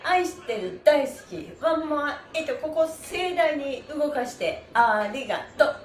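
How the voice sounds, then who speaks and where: High-voiced cheerful woman leading stretches, echoey room. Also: expressive, a little slow. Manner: cheerful, encouraging, singsong chanting. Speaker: stretching instructor. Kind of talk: follow-along exercise instruction with chanted counts. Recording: echoey room, faint background noise.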